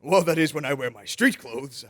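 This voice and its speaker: A man's voice: on the high side, expressive, moderate pace, lightly acted. A man playing a kindly, impishly confident old Santa Claus in an old-time radio drama. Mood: genial.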